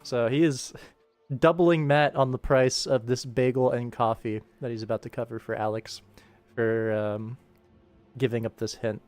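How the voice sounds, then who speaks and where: A man with a medium-pitched voice chats casually on a work stream. He muses aloud like a director sorting through takes, with game music playing softly in the background. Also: fairly even intonation, moderate pace. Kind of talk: casual livestream commentary while working.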